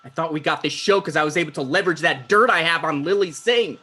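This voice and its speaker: Man playing high-strung, self-important talk show host. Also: fast, sharp tone. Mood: petulant, dismissive. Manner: petulant, defensive, pompous. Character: anxious, self-important thirty-five-year-old male talk show host. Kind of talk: comedy script table read. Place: video call.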